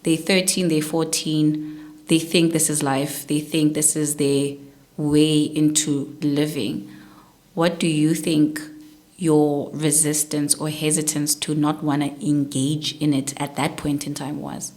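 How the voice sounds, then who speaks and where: Low-voiced woman asking thoughtful questions. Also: level tone, slow. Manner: thoughtful, probing questions with soft "mm" backchannels. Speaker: interviewer host. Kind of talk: podcast interview.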